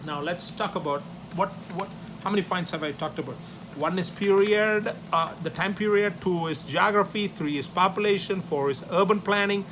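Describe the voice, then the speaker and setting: A man's voice: high-pitched, normal speed, noisy background. Tamil-speaking man lecturing with an Indian accent. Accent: Indian English. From South India. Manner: loose, repetitive phrasing, numbered points, many tag questions. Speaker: teacher. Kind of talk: classroom history lecture.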